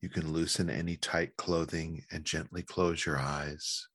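A man leading a guided meditation in a calm, gentle, measured manner with long pauses. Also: rumbling low, husky, dry recording, clean studio sound.

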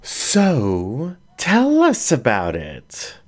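A man's voice: mid-range voice, wildly swooping pitch, very slow, voice pushed up, exaggerated voice. A man voicing a small, easygoing female tabby cat in an audiobook reading. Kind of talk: storybook reading.

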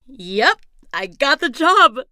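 Bright, high-pitched excited woman played by an actress. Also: slow, no echo, full character voice. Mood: excited, proud. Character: excited, boastful young woman announcing a new TV anchor job. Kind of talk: audio drama acting.

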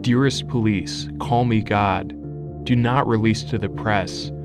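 A menacing sniper played by a man dictates terms in a low voice. The delivery is deliberate and commanding, as a dramatic reading over faint music. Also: fairly even intonation, natural voice. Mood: cold, threatening. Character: menacing male sniper reading out his demand letter. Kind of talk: dramatic reading of a transcribed message.

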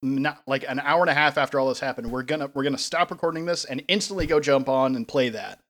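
A man of ordinary pitch co-hosts a podcast in a casual way. He gets animated as he tells his stories and often laughs partway through them. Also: fast talker. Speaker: podcast co-host. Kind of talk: casual chat.

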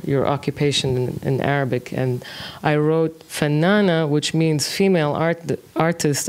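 A woman in her thirties from Kuwait, a music producer talking as a guest in a casual interview. She speaks in a relaxed way, thinking aloud with frequent fillers. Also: rumbling low, clear, resonant tone. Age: 30s.

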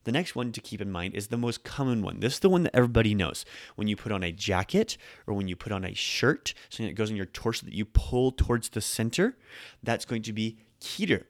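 A man's voice: lowish voice, expressive, fast, no room sound, studio quality. A man hosting a casual Japanese lesson, explaining in an upbeat, conversational way. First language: English. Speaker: podcast host teaching Japanese.

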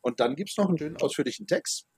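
A man's voice: fairly high pitch, expressive, quick pace, darkish tone, breathy edge, no echo. A man hosting a podcast in casual chat, chatty and full of fillers. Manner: chatty, many fillers, talks of his age. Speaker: podcast host.

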